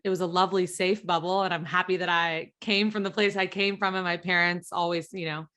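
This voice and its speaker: A woman's voice: on the low side, little pitch movement, brisk, a bit bright. A woman, hosting a podcast in a casual, agreeable, chatty way. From southern US. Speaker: podcast host, interviewer. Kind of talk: casual chat.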